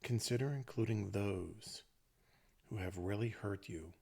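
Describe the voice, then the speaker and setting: A low-voiced man guides a meditation in gentle, short phrases. His voice is husky and creaky, and he leaves long pauses between thoughts. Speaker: meditation teacher.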